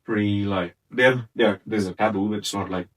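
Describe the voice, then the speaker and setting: Young man from India, Punjabi first language, lowish voice, chatty and rambling, podcast co-host, mic set back in an echoey room. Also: normal speed, distant mic. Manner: rambling, many fillers and restarts. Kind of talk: casual chat. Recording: roomy, from off the mic.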